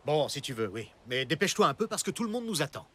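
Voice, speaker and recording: An actor playing a stern, worried father in a French animated cartoon, firm and exasperated. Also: fairly high pitch, fast, very noisy. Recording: music behind the voice.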